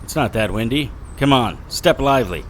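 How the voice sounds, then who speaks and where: A man's voice: mid-range voice, expressive, moderate pace, slight background noise, natural voice. A man playing a gutsy, wisecracking 1940s detective hero in an audio drama, with a wry, hard-boiled way of talking. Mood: wry, confident. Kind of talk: full-cast audio drama acting.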